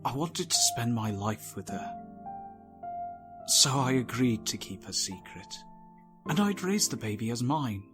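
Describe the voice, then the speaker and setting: Man as devoted father pony, expressive, unhurried. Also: mid-range voice, music in the background, close to an everyday voice. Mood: tender, resolute. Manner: earnest, heartfelt. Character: devoted father pony, sincere, tender, grateful. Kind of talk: emotional audio drama acting.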